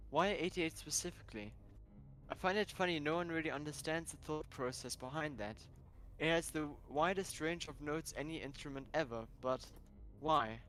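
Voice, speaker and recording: A man's voice: a little high, fairly bright tone, rough recording. A man livestreaming, chatting casually as he plays a game. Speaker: streamer. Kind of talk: casual livestream chat while gaming. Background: faint game music.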